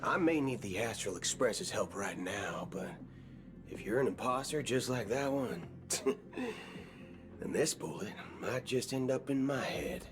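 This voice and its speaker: An actor playing a swaggering, wry cowboy gunslinger in a video game, talking in folksy slang. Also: male voice, mid-range voice, expressive, lightly acted. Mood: sly. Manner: folksy slang, slow drawling delivery. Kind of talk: video game cutscene acting. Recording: game audio with background music.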